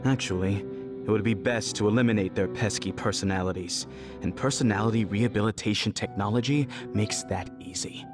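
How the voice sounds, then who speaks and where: An actor voicing a stern, fanatical elder brother in a video game, commanding and condescending in dramatic delivery. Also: male voice, on the low side, a little fast, lightly acted. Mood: grim, lecturing. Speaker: villain. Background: dramatic background music.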